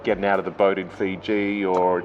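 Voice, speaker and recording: A man's voice: low voice, little pitch movement, normal speed, a little mellow, highs cut off, soft background music. A man from Australia or New Zealand interviewing a guest casually, encouraging and jokey, with lots of "yeah".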